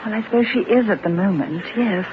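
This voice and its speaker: A woman's voice: medium pitch, brisk, natural voice. An actress playing an anxious, proper young British mother in a radio drama, earnest and fretful. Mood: hesitant. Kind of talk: radio drama dialogue and first-person narration. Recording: lo-fi radio recording, clear background noise.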